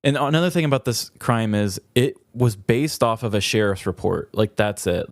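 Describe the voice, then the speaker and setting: A man with a fairly low voice talks loosely with his co-host, trailing into fillers as he thinks aloud. The sound is close and dry, like a small basement studio mic. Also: moderate pace, no echo. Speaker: podcast co-host and storyteller. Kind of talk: casual podcast chat.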